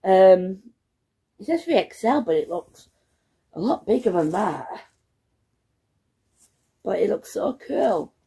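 A young woman, a student, chatting casually to her phone camera at home, her voice croaky from hay fever and her talk rambling and excitable. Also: medium pitch, slow pace, pauses often. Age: young adult. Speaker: student vlogger. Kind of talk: casual vlog chat. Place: home, indoors. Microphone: phone camera at arm's length.

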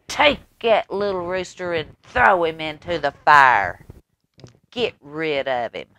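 A woman voicing an angry storybook king who barks loud, blustering commands. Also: sits low, wildly swooping pitch, slow pace, full character voice. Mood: furious. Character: angry, spoiled storybook king, a grown man.

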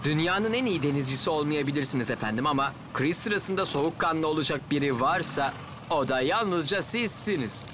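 A man's voice: a little high, lively intonation, fairly quick, noisy background, lightly acted. A man voicing a formal, loyal rescuer in a cartoon dub, speaking respectfully. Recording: music behind.

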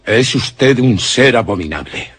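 A husky, indignant captive hero. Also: male voice, medium pitch, expressive, unhurried, a bit bright. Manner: outraged, defiant, melodramatic. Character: indignant, defiant male captive hero confronting villains. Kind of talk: Spanish-language radio horror acting.

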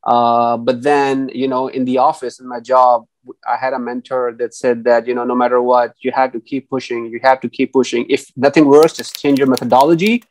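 A man's voice: mid-range voice, little pitch movement, normal speed, dry recording, low-quality recording. A man in his thirties, originally from Pakistan, speaks as a guest in a casual interview over a video call, talking hesitantly with many restarts and "you know"s. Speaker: guest engineer. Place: small room indoors. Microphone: earphone headset mic on video call.

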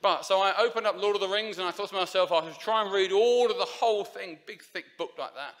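A man's voice: high-pitched, quick pace, a bit bright. A man, preaching an informal, chatty sermon at a church lectern, with many fillers and restarts. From Essex, England. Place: church sanctuary. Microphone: lectern microphone.

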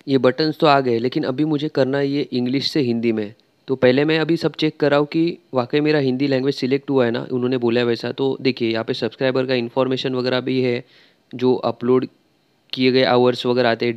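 A man's voice: medium pitch, a little mellow, clean tone, highs cut off. A man talking through a screen-recorded tutorial step by step, chatty and loose, with frequent filler sounds. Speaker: tech tutorial creator.

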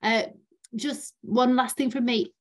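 A woman's voice: a little high, steady tone, slow. A woman hosting an online session, talking in a friendly, reassuring and chatty way before introducing the speaker. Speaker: session host. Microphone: video call.

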